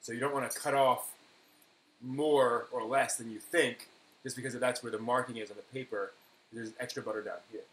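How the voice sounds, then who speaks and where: A man's voice: mid-range voice, steady tone, moderate pace, a bit bright. Rambling man in an echoey room. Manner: rambling, self-correcting, muttering to himself while working. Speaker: live stream host. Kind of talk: casual cooking demonstration, talking through steps. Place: indoor kitchen at a counter. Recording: echoey room, clear background noise.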